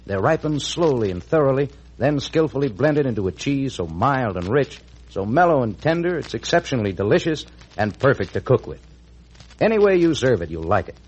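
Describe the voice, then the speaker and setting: A man's voice: medium pitch, very animated, a little fast, darkish tone, husky, dull audio. An American man reading scripted announcements and narration in the style of 1940s radio. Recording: old 1940s radio recording.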